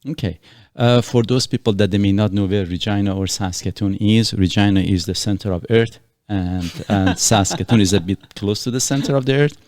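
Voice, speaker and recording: A man's voice: lowish voice, moderate pace, hardly any pauses, darkish tone, dry recording. A middle-aged man from Iran hosting a casual podcast, explaining things earnestly in a rambling way with many fillers. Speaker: podcast host.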